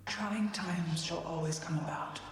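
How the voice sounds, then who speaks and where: A woman's voice: fairly low pitch, fairly even intonation, a little slow, close to an everyday voice. An actress playing a serene, majestic goddess of night and reassuring mother in a video game, speaking in a stately, formal way. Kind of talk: video game dramatic acting. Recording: very echoey space with faint ambient noise.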